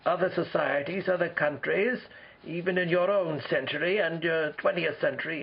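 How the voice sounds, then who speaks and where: Man playing a proud, gravelly Spartan warrior king. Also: a little high, lo-fi sound. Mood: stern, proud. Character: proud, solemn ancient Spartan warrior king being interviewed. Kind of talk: amateur historical role-play interview.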